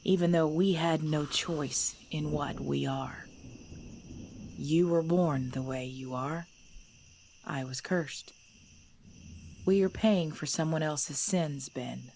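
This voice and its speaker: A woman playing a centuries-old vampire mistress in an ASMR audio drama, speaking formally in a breathy, measured voice. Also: low voice, a little mellow, a little hiss or hum, full character voice. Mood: grave, resolute. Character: centuries-old vampire mistress, female, cold ruler turned protective.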